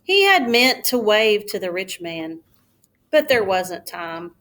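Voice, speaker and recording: A woman reading a children's storybook aloud like a schoolteacher, in a careful, expressive storytelling manner. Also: medium pitch, slow pace.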